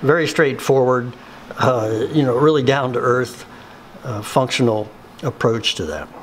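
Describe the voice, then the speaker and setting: A middle-aged man giving a hands-on woodworking tutorial in a workshop, unhurried and plainspoken, with many ums and uhs. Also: medium pitch, slight background noise. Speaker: woodworking instructor.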